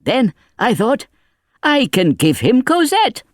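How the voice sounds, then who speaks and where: A man reading an audiobook, voicing a frantic, grieving old grandfather in high, cracking outbursts. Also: wildly swooping pitch, slow, dry recording, clean studio sound, full character voice. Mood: doting.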